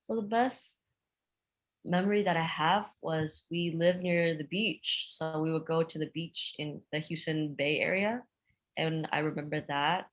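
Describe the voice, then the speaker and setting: Low-voiced woman in her twenties. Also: measured pace. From Georgia, USA. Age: 20s. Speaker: podcast guest. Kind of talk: casual chat.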